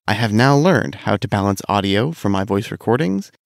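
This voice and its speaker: A man recovering from a cold, narrating a scripted game commentary in a measured, analytical way. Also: fairly low pitch, animated, vocal fry, no echo, clean studio sound. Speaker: gaming video host.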